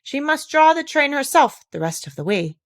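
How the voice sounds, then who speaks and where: Pompous storybook train passenger, chanting and emphatic, pitched up, woman reader playing the part. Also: sing-song, normal speed. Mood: fussy. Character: chanting chorus of pompous, fussy railway passengers.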